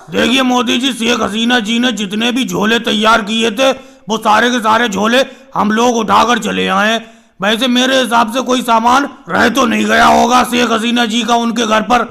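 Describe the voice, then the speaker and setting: Man acting squeaky male cartoon politician, very high pitch, gravelly creak, chatty, bickering, comedy skit. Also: steady tone, moderate pace, sharp tone, full character voice. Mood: earnest, hospitable. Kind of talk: animated comedy skit dialogue.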